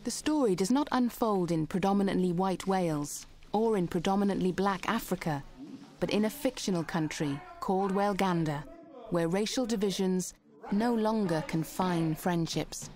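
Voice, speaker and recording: A woman giving measured, scripted documentary narration. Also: mid-range voice, a little mellow. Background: music.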